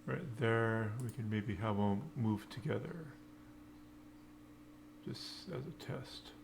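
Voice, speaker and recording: A man teaches programming at a very unhurried pace, working it out aloud in halting fragments. His mid-pitched voice has plenty of vocal fry, and the recording is noisy, with typing audible behind him. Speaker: programming tutorial instructor. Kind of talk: unscripted coding walkthrough, thinking aloud. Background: computer keyboard typing.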